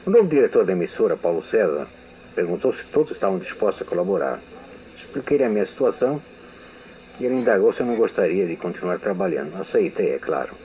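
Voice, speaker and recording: Older male radio veteran, measured reflective delivery; thin phone-like archival sound. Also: sits low, big pitch swings. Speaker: radio writer giving a testimony. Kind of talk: memoir reading. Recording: tinny lo-fi archival audio with hiss.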